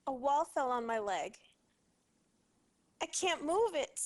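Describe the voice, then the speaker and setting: A woman's voice: high voice, sing-song, slow, full character voice. An actress playing a distressed woman pleading for help in a video game disaster cutscene. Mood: pained, worried. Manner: pleading, pained. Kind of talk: full-motion-video game acting.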